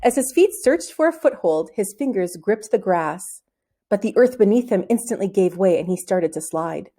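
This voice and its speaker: A woman reading a children's novel aloud as an expressive storybook narrator. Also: mid-range voice, moderate pace. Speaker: storybook reader.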